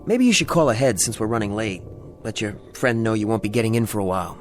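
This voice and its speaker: Mild-mannered man traveler, animated pitch actor. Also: fast talker, soft background music, natural voice. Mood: helpful. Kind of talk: radio drama acting.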